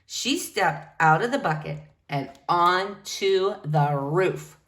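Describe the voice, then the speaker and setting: A woman reading a picture book aloud to young children in an expressive, animated way. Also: fairly low pitch, takes it slowly. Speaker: storybook reader.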